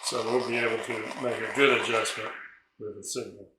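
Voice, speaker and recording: A man giving a casual hands-on repair demonstration, thinking aloud in a halting way as he works. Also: on the low side, flat delivery. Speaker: radio repair hobbyist and narrator. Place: indoors at a repair bench. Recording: roomy echo, background noise, small clicks.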